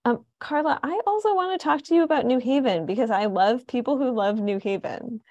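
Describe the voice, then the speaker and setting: A woman's voice: fairly high pitch, fairly quick, a little mellow, no echo, dull audio. A woman with an American accent casually hosting an interview in a conversational, enthusiastic way. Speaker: radio show host.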